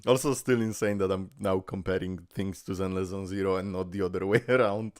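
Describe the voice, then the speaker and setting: A man whose first language is Polish, hosting a casual game reaction video and talking in an excitable, rambling way full of fillers. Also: low-pitched, fairly quick, no room sound, clean studio sound.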